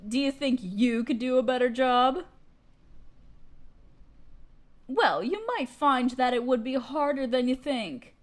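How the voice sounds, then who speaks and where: A woman acting as an imperious evil queen with a fairly high voice. She speaks theatrically and with condescension, as a ruler used to being obeyed, in a scripted audio roleplay monologue. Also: moderate pace, natural voice. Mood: scornful. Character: haughty evil queen, imperious sorceress ruling an empire.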